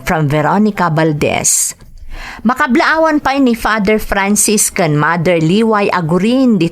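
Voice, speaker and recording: A woman with an Ilocano accent, hosting a radio drama program and reading out listener greetings. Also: medium pitch. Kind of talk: reading listener shout-outs and a letter.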